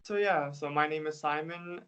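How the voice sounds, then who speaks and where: A young man, an English teacher, answering interview questions in a relaxed, casual way, hedging often with "like" and "I guess.". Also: fairly high pitch, moderate pace, smooth, lo-fi sound. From United States.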